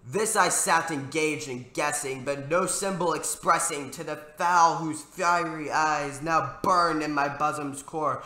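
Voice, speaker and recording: A man recites verse in a bright, forceful voice pitched a bit high. His delivery is theatrical and full of feeling, like a dramatic reading. The room adds a noticeable echo around his words. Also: steady tone, a little slow, few pauses, soft background music. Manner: theatrical, emotive. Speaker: amateur poetry reader.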